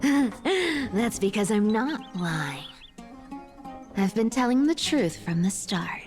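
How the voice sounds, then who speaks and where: A woman's voice: mid-range voice, sing-song, slow, faint music behind. An actress playing a brash, sly young female thief in a video game, sardonic and playful. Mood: sly, impatient.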